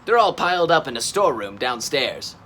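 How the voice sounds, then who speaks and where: A man's voice: medium pitch, sing-song, brisk, a bit bright, a little hiss or hum. A man voicing a helpful, slightly worried male dinosaur boss in a children's story, explaining things emphatically. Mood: helpful, emphatic.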